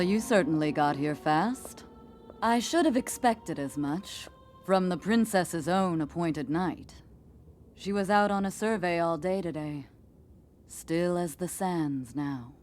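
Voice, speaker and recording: An actress playing a confident, teasing desert warrior chief with a wry, self-assured manner, in a video game cutscene. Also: female voice, on the low side, a little mellow.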